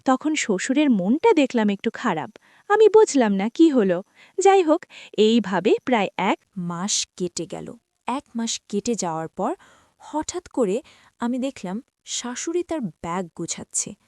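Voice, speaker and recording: Woman narrator, confiding storyteller who speaks to listeners as friends; animated, higher-pitched, dry recording. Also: measured pace, no room sound. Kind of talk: first-person story narration.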